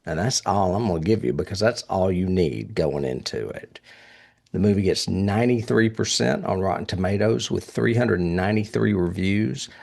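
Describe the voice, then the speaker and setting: A man's voice: low-pitched, lively intonation, moderate pace, gravelly, a little mellow. A man hosting a casual film review chat, speaking with enthusiasm and often chuckling. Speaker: podcast host reviewing horror films.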